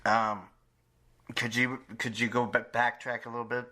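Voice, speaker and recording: A man hosting a casual podcast interview, halting and searching for his words as he talks. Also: medium pitch, flat delivery, sharp tone. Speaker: podcast host.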